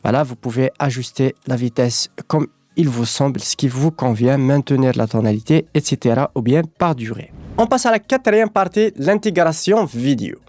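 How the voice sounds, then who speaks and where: A man presenting a software tutorial, explaining things step by step. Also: medium pitch, normal speed, hardly any pauses, no echo, soft background music.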